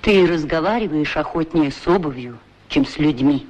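An actor playing a pair of talking shoes in a radio play, frank, familiar and full of questions. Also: male voice, a little high, normal speed, darkish tone, dull audio, natural voice. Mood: inquisitive, discreet. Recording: 1960s radio drama recording.

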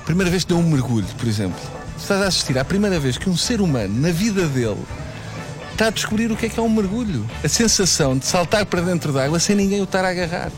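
Soft-spoken man, music behind. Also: high voice, lively intonation, fast talker. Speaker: interviewee. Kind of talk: heartfelt interview talk. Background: background music.

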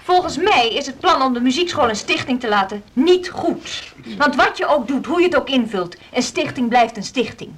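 A principled, stubborn woman teacher in a radio drama. She has a high voice and speaks insistently, with formal earnestness, as if making her case to a meeting. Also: expressive, normal speed, hardly any pauses. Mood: passionate, insistent.

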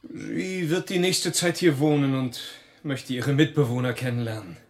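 Mid-pitched civil gentleman, played by a man. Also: moderate pace, natural voice. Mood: calm, civil. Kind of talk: German radio drama acting.

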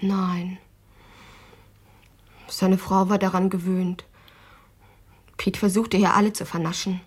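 A bitter young woman under police questioning in a radio play. Her medium-pitched voice is husky and breathy, her answers terse and resentful. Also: little pitch movement, slow pace. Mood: subdued. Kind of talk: radio drama acting.

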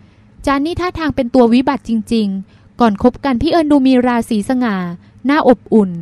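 A woman's voice: high voice, expressive, normal speed, darkish tone, dry recording. A woman reading the part of a stubborn, teasing young woman, a petulant younger sister, in an audiobook.

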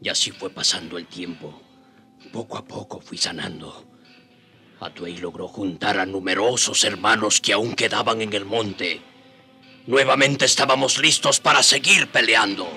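Radio drama: brave native rebel leader and narrator, very sharp forceful voice, earnest, music beneath. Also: male voice, fairly low pitch, moderate pace. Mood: solemn, resolute.

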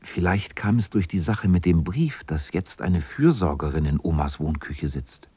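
A man with a husky voice narrating a scripted children's story as the narrator of a radio drama. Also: deep voice, lively intonation, normal speed, mellow, no room sound.

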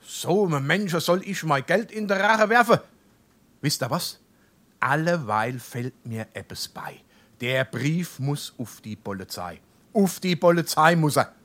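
Aufbrausender hessischer Hausvater, eher hohe Männerstimme. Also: male voice, on the high side, animated, unhurried, a bit bright. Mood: indignant, scheming. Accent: Darmstadt Hessian. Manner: emphatic, declamatory, scolding. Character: blustering, indignant middle-aged townsman and head of household. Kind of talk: stage dialect comedy acting.

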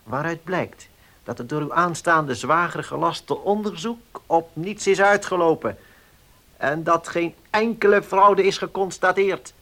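An actor playing a formal, cautious village notary in a radio play, speaking in a measured, officious manner. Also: male voice, on the high side, bright, forceful tone. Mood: guarded satisfaction. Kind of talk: Dutch radio play acting.

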